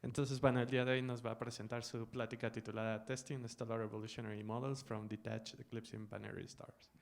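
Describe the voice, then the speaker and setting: A man's voice: medium pitch, level tone, brisk, vocal fry. A man with a Mexican Spanish accent hosting a university colloquium, introducing the guest informally and with some hesitation. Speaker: colloquium host. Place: university auditorium.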